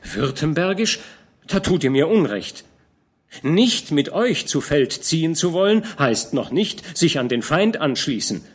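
A man voicing a proud, hot-tempered young nobleman who speaks earnestly and indignantly, with a lively voice. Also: a little high, measured pace, breathy edge, voice pushed up, heavily acted.

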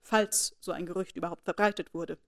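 A woman's voice: fairly low pitch, brisk, natural voice. Woman reading a cool, composed young woman. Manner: calm, cool, quietly defiant.

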